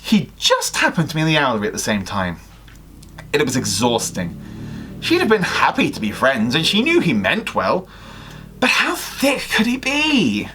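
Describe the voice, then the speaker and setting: Young man, highly varied pitch, expressive amateur storyteller with dramatic emphasis. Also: moderate pace, slight background noise. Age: young adult. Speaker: guest reader on a livestream. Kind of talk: expressive fan fiction story reading with casual asides. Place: small home room with sloped ceiling.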